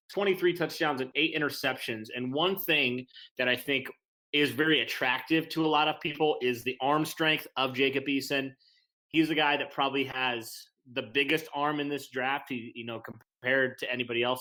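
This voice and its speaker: Bright-voiced man analyzing football. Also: mid-range voice, rough recording. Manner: earnest, hesitant with frequent um and restarts. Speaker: podcast co-host. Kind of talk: casual sports podcast analysis.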